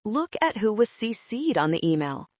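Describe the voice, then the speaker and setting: Female narrator as confident man, teasing, calm, smug, animated audiobook dialogue, dry sound. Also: mid-range voice, moderate pace, dry recording. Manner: teasing, self-assured. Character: calm, smug, grinning male partner.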